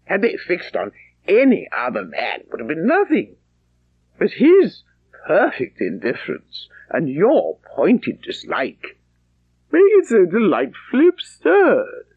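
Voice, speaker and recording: A woman's voice: fairly low pitch, wildly swooping pitch, takes it slowly, slightly rough, dry recording, exaggerated voice. Lady doing older gent dad, droll teasing, very up and down, storybook, bit dull sound. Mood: teasing amusement. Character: witty older gentleman, amused, teasing father. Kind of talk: audiobook character acting.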